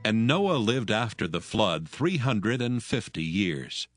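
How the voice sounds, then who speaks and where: A man narrating scripture from a script in a measured, solemn manner. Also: fairly low pitch, sing-song. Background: music.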